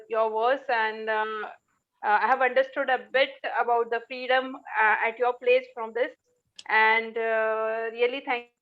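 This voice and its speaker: A woman's voice: a little high, smooth. A woman with an Indian accent formally hosting an online gathering, polite and hesitant as she introduces participants on a lo-fi call. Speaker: host of an online poetry gathering. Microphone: video call.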